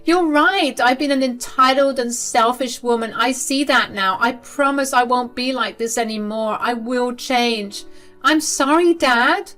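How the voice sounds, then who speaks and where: A woman's voice: a little high, lively intonation, moderate pace, a bit bright, soft background music, pitched above the performer's own voice. A woman voicing a spoiled middle-aged mother who whines and begs desperately. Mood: pleading. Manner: whiny, desperate begging.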